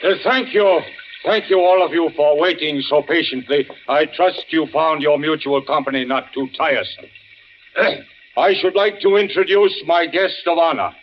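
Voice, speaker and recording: An actor playing a courtly, fussy old host in a radio mystery, formal and over-polite. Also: male voice, a little high, normal speed, close to an everyday voice. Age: older. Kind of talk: 1940s-50s radio drama acting. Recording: muffled, faintly noisy old radio recording.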